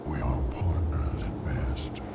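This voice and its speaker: A man voicing a menacing, imperious demon who holds mortals in contempt, in a gravelly, breathy growl for a video game. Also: rumbling low, whispered. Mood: cold defiance. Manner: slow, imperious. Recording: heavy noise behind the voice.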